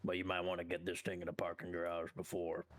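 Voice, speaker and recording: A man's voice: low voice, monotone, quick pace, a little hiss or hum, close to an everyday voice. A man playing a sly, laid-back biker dealer in game roleplay, terse, nonchalant and cocky.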